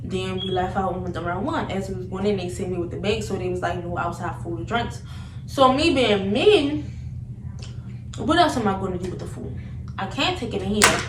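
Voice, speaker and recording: A woman's voice: mid-range voice, fairly quick. Young woman telling a story, roomy noisy recording. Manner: animated, slangy, repetitive retelling. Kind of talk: casual storytelling chat. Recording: roomy, distant mic, noticeable background noise.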